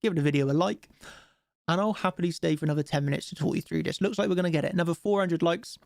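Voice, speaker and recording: A man's voice: fairly high pitch, fast, gravelly, darkish tone, dry recording, studio quality. A man with a cold and a stuffy nose, chatting casually while hosting a live trading stream and asking viewers for likes. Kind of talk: live-stream chart commentary.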